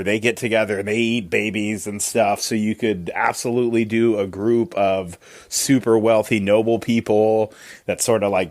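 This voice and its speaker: Informal, enthusiastic low-voiced man. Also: dry recording, clean studio sound. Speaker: podcaster leaving a listener voicemail. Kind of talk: casual chatty voicemail.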